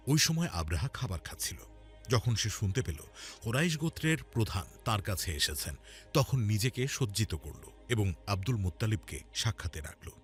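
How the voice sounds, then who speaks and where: Deep-voiced animated male storyteller over music. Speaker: narrator. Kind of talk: scripted dramatic storytelling narration for a religious cartoon. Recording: music under the voice. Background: background music.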